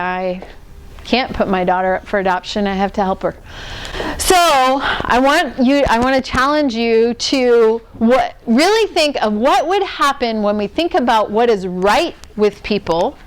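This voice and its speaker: Woman trainer, kinda high voice, lively, pokes at the room with questions, faint hiss behind. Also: rough recording. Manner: lively, questions and teases the audience. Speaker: workshop trainer. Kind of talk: interactive management training talk.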